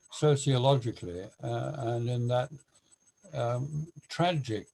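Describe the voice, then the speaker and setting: A man giving a reflective, measured comment in an online discussion, pausing hesitantly as he thinks. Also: mid-range voice, darkish tone, rough recording. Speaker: webinar participant.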